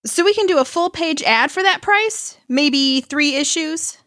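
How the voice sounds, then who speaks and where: A woman's voice: high-pitched, expressive, unhurried, a bit bright, no room sound. An actress playing a shrewd, cynical woman con artist who is wry and matter-of-fact, in an audio play. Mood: wry, businesslike.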